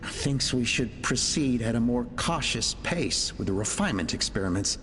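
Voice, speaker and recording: A man's voice: medium pitch, animated, normal speed, fairly bright tone, natural voice. An actor playing an earnest, idealistic male inventor dictating research logs in a video game. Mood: businesslike. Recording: reverberant hall, music underneath.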